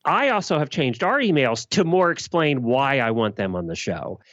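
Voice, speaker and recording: A man's voice: medium pitch, very animated, moderate pace, fairly bright tone, no echo, dull audio. A man giving blunt, emphatic answers in a conversational podcast interview. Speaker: podcast guest.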